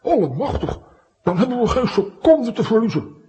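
A man playing a bumbling, excitable adventurer in a children's radio play, exclamatory and hesitant. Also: very high-pitched, moderate pace. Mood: alarmed.

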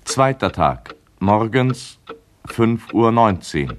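An actor playing a sober official timekeeper in a radio drama, announcing in clipped, factual, measured phrases. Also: male voice, on the low side, big pitch swings. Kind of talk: 1970 German radio play announcement.

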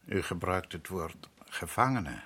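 An actor playing a courteous, dignified, evasive island religious leader in a radio drama, speaking formally and with measured politeness. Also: male voice, low voice, animated, bright, forceful tone, breathy edge, exaggerated voice. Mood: courteous, guarded. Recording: noisy old radio play recording with clicks.

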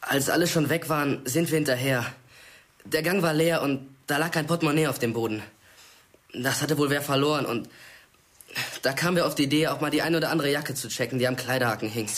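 A young man playing a nervous, defensive schoolboy and petty thief in a German radio drama, speaking haltingly. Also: on the high side, a bit bright, natural voice. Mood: confessing, defensive.

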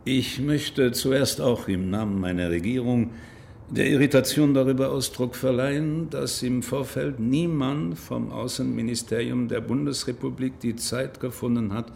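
An actor playing a pompous, formal, indignant Belgian diplomat in a radio crime drama. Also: male voice, medium pitch, moderate pace, vocal fry, a little mellow, a little hiss or hum, lightly acted. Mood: stiffly indignant. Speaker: consular diplomat.

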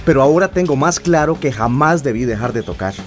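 An actor with a Costa Rican accent playing a passionate, newly defiant teacher and musician in a radio drama. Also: male voice, mid-range voice, lively intonation, a little fast, soft background music, close to an everyday voice. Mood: defiant, wounded.

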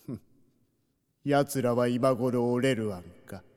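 An actor playing a haughty middle-aged enemy lord who speaks in a condescending, unhurried way in a fantasy audio drama. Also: male voice, medium pitch, darkish tone, clean tone, close to an everyday voice. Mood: brooding, disdainful. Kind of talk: Japanese fantasy drama CD acting. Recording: long echoing hall reverb.

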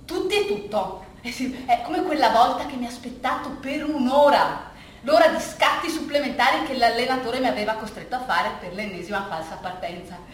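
An actress playing a bittersweet, rambling woman who reminisces in a halting, confiding, repetitive colloquial way, as in a theatre monologue. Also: on the high side, close to an everyday voice. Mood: fond, amused. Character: rambling, bittersweet woman reminiscing about her athletic youth. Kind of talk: theatrical monologue, conversational storytelling. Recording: echoey room, distant mic, faint noise.

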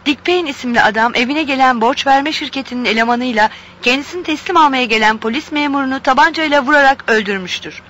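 Radio play role: formal news announcer, high and forceful, crisp scripted bulletin delivery. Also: female voice, quick pace, hardly any pauses, a little hiss or hum. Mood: formal, urgent.

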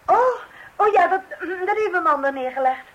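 Radio drama housewife: very high voice, polite, flustered, hesitant delivery. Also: female voice, expressive, normal speed, lo-fi sound. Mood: flustered, apologetic. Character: flustered, polite housewife, wife of a clumsy do-it-yourselfer. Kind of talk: radio play acting. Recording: old radio play recording.

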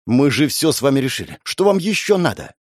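A man voicing a frightened but angry young sister, defensive and nearly shouting, in an audiobook. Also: medium pitch, very animated, a little fast, no echo, studio quality, lightly acted.

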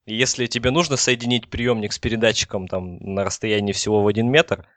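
A man with a fairly low voice talks through technical details in a casual podcast discussion. The sound is close and dry, and he explains things in a conversational way. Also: steady tone, a little fast, a bit bright, no room sound.

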